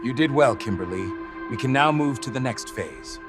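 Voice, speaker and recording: A man playing a sinister, flattering supervillain ally in a motion comic, with music under him. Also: mid-range voice, moderate pace, close to an everyday voice. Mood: smooth scheming.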